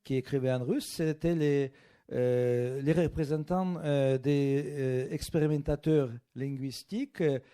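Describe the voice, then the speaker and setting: A man with a Russian accent, a guest author in an interview, speaking slowly and often stopping to search for French words. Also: mid-range voice, steady tone, mellow, clear, resonant tone. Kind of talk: bookshop interview.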